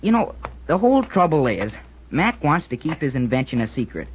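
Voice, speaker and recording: An actor playing an earnest, determined schoolboy cadet in 1940s radio style, with boyish, folksy slang. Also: male voice, a little high, big pitch swings, a little fast. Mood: eager, determined. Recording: noisy, dull old radio recording.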